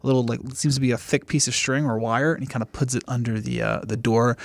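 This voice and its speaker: A man running a tabletop game as game master, improvising scenes in a halting, informal, jokey way. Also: medium pitch, fairly even intonation, gravelly. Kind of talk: casual banter.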